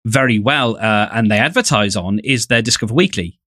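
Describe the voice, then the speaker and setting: A man's voice: fairly low pitch, expressive, brisk, sharp tone, no echo, clean studio sound. A man with an English accent co-hosting a podcast, talking casually in a wordy, jokey way. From England. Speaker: podcast co-host.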